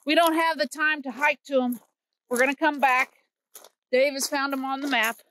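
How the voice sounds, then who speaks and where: Woman, 50s, from Missouri, high voice, outdoor phone vlog, chatty and earnest. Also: fairly even intonation, normal speed, sharp tone. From Missouri, USA. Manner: chatty, earnest storytelling while hiking. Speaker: vlog host. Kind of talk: casual walking vlog. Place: outdoors in winter woods by a creek. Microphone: phone held at arm's length.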